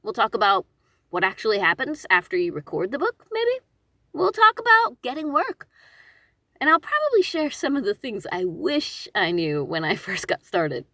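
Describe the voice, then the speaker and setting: A woman audiobook narrator hosting her own channel, giving informal instructional talk in an expressive, conversational and emphatic way, with self-deprecating asides. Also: a little high, normal speed, no echo, clean studio sound.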